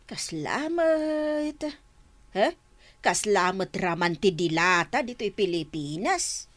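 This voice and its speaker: A woman's voice: mid-range voice, very animated, slow, a bit bright. A woman playing a querulous elderly mother of a rural household in a radio drama, with an Ilocano accent. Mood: grumbling.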